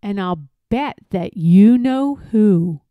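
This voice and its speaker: A woman's voice: mid-range voice, lively intonation, slow, dry recording, phone-quality sound. A woman playing a timid storybook character who boasts of bravery but frightens easily, speaking with nervous, dramatic emphasis. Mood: panicked.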